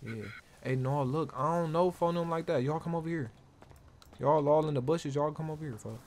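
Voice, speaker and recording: A man's voice: a little high, fairly quick, darkish tone, slight background noise. An American man streaming improvised gang roleplay, bossing his crew around in repetitive street slang.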